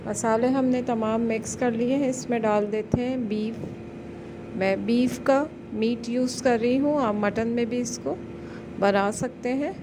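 A woman hosting a cooking channel, calmly talking through a recipe step by step in a voice-over. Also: on the high side, measured pace, background noise. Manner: calm step-by-step instructions.

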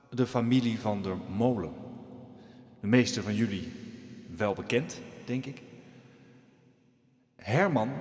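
An earnest village preacher, played by a man, speaks in a radio drama with a solemn, halting delivery. His pitch swings expressively as he addresses the congregation. The voice rings through a large, echoing church with long reverb. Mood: grave, halting. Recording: echoey church hall reverb.

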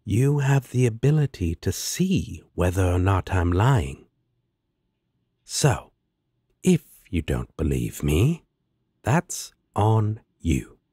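Man voicing male demon, very low husky voice, sardonic drawl, laid-back, audio roleplay. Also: sing-song, slow pace, warm tone, no echo, studio quality, full character voice. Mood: casual, matter-of-fact. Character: laid-back sarcastic male demon roommate, protective and lazy.

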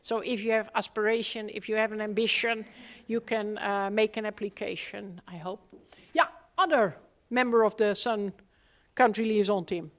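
A woman hosting a live gathering, introducing team members and prompting the audience with quick informal questions over a handheld mic in a large room. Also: medium pitch, fairly even intonation. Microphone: handheld microphone.

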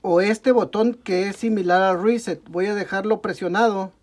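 A young man with a Mexican Spanish accent, walking through a computer repair step by step at his workbench, often pausing to correct himself. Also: high voice, steady tone, a little mellow. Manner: talks through each step while working, frequent "ok, amigos" and self-corrections. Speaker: tech tutorial host. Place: indoor workbench at a desk.

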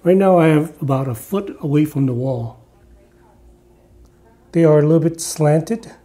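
A man's voice: on the high side, measured pace. Chatty man in an echoey living room. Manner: relaxed, chatty, with frequent uh fillers and restarts. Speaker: audio reviewer. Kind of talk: casual product review.

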